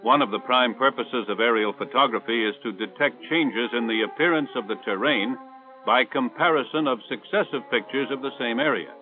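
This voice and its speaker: An American man reading scripted instructional narration for a 1960s military training film in a measured, authoritative way. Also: medium pitch, soft background music. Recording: tinny band-limited 1960s film soundtrack.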